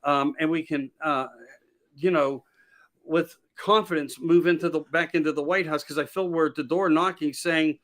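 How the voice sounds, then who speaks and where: Rambling higher-voiced man, emphatic. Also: steady tone, moderate pace, a bit bright. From Pennsylvania. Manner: rambling, emphatic, repeats key phrases. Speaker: podcast host as guest. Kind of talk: casual political chat.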